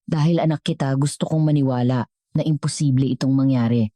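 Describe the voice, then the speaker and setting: A woman as a grave, low-voiced old chairman. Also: fairly even intonation, fairly quick, warm tone, no echo, clean studio sound. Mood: hurt, stern. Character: stern, wounded old company chairman and father.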